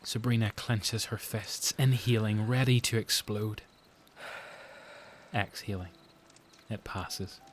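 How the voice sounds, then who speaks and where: A man's voice: on the low side, fairly even intonation, slow, long pauses, slight background noise. A man with a Northern Irish accent reading scripted narration for an audio drama.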